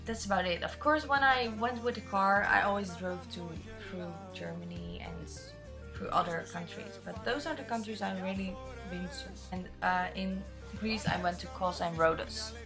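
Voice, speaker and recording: A woman's voice: medium pitch, lively intonation, moderate pace, music in the background. A woman in her twenties with a Dutch accent, chatting openly as she answers viewer questions in a vlog. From Netherlands. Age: 20s. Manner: chatty, open, rambling storyteller. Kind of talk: casual chat.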